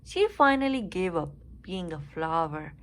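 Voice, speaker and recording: A woman reading a children's story aloud with expressive, deliberate phrasing. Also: lowish voice.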